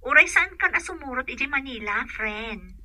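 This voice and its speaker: A woman's voice: sits high, expressive, normal speed, sharp tone, no room sound, exaggerated voice. A woman with an Ilocano accent acting in a radio drama as a caring young friend, solicitous and reassuring. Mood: friendly, concerned.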